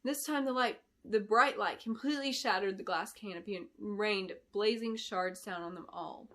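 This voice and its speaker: A woman reads fantasy fiction with a bright, forceful tone. Her storytelling is dramatic and expressive, with deliberate phrasing throughout. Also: mid-range voice. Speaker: author reading her book. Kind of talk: dramatic scripted fantasy narration.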